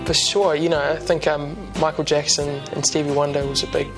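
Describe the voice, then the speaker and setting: A young man in his twenties with a New Zealand accent, chatting as a musician guest in a relaxed, rambling way with lots of ums and restarts. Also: a little high, a little fast, music in the background. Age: 20s. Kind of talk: casual interview chat. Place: TV studio couch.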